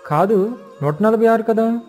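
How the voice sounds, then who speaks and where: A man voicing a kindly, encouraging village schoolteacher with a teacherly manner in an animated moral story. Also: high-pitched, unhurried, soft background music. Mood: testing.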